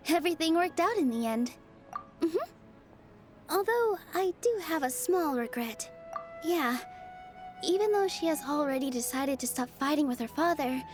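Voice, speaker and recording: A woman voicing a gentle, earnest young theater dancer in a video game, speaking softly and sincerely. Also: high voice. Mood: wistful, hopeful. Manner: soft, sincere, wistful storytelling. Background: game music.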